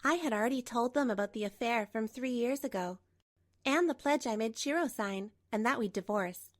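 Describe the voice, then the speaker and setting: A woman playing a composed betrayed wife in a manga dub, narrating and speaking with measured, pointed delivery. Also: a little high, no echo, studio quality, close to an everyday voice. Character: betrayed wife, composed, coolly resolute, narrating her story.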